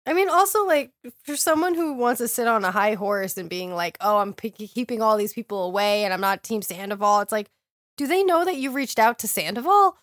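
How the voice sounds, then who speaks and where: A woman's voice: a little high, animated, brisk, a bit bright, no room sound, studio quality. A woman in her thirties co-hosting a podcast, talking bluntly with plenty of swearing. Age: 30s. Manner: blunt, profane, matter-of-fact. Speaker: podcast co-host. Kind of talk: casual reality-TV recap chat.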